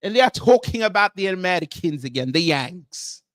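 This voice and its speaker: A man's voice: sits high, expressive, moderate pace, fairly bright tone, dry recording. A man putting on a Nigerian accent to play a grandiose, formal, self-proclaimed prince who hosts a livestream and greets viewers one by one. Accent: put-on Nigerian. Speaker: livestream host. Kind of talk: comedic character livestream.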